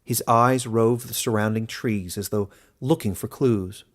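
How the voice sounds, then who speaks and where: A man's voice: lowish voice, measured pace. A man narrating a scripted fantasy story in a dramatic audiobook style.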